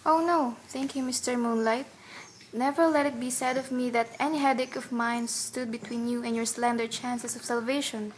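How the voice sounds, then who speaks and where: A woman's voice: fairly high pitch, fairly even intonation, normal speed, a little hiss or hum, lightly acted. A woman acting an anguished, wistful wife who never ages, in a dramatic radio play. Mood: subdued, wistful. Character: anguished wife, Sarah Moonlight, cursed to stay forever young. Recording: radio play with loud music behind.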